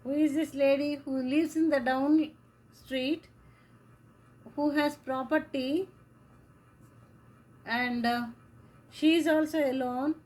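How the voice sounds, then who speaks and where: A woman teacher giving a slow lecture, repeating key phrases as she explains. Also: sits high, fairly even intonation, darkish tone, rough recording. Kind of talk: classroom lecture.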